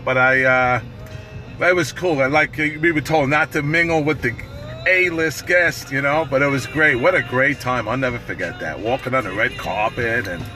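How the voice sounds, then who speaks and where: A middle-aged man with a raspy voice, chatting casually and profanely on a livestream from a parked car, phone held at arm's length. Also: mid-range voice, normal speed, over music. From New York. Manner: loose, profane, chatty, laughing often. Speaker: livestream host.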